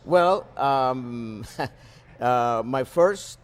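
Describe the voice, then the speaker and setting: A man's voice: medium pitch, animated, extremely slow, smooth. An older man with a mixed Cuban and New Jersey accent, chatting casually as an interview guest, hesitant but good-humored. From Cuba, later New Jersey, USA. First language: Spanish. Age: 60s or older. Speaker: interview guest, flight instructor. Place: aviation museum at an air show.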